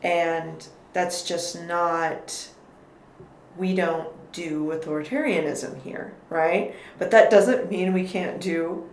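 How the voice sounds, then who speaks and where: Low-voiced woman in an echoey room. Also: slow. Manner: thoughtful, qualifies her words, frequent restarts. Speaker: podcast guest. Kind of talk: casual political conversation. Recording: echoey room with faint noise.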